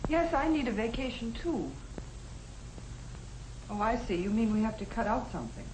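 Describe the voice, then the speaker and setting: An actress playing a spirited young American working wife who coaxes and argues, in the style of a 1950 educational film. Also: female voice, a little high, very animated, moderate pace, darkish tone. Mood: eager. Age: young adult. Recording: echoey, faintly noisy 1950 film soundtrack.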